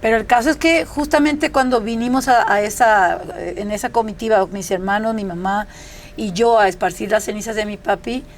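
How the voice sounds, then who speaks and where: A woman's voice: mid-range voice, moderate pace, slight background noise. A middle-aged woman, a chef telling her story as a guest in a chatty, anecdotal way. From Mexico. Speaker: chef and restaurant founder, guest. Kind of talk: casual interview chat.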